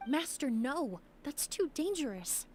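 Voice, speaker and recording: An actress playing a caring, loyal young apprentice in a video game, earnest and concerned. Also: female voice, high-pitched, very animated, measured pace, a little mellow, slight background noise. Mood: alarmed.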